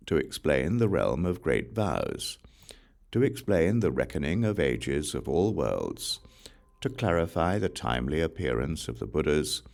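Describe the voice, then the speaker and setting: A man reads scripture as an audiobook narrator, solemn and deliberate, with measured pauses between phrases. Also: rumbling low, vocal fry, a little mellow. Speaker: audiobook reader.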